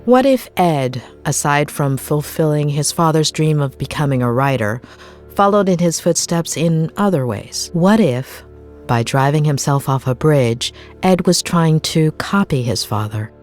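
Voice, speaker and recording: A low-voiced woman therapist in a narrative video game. She is composed but weary, weighing things carefully and keeping her guard up. Music plays behind her. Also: animated, unhurried, a little mellow. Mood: pensive. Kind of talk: naturalistic video game cutscene acting.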